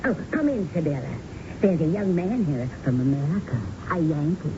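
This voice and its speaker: A woman's voice: sits low, sing-song. An actress playing a chatty, fussy, restless older Southern wife in a radio drama, rambling and drawing out her words. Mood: chatty, curious. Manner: rambling, complaining, drawn-out words. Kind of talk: 1970s radio drama acting. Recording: noisy, muffled old radio broadcast recording.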